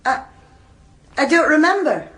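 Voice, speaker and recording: Fretful old-time landlady, high voice all over the place, flustered, radio drama. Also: big pitch swings, a little slow, fairly bright tone, exaggerated voice. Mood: nervous, unsure. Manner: fretful, exclaiming. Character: flustered, excitable working-class landlady. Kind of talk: period radio drama acting.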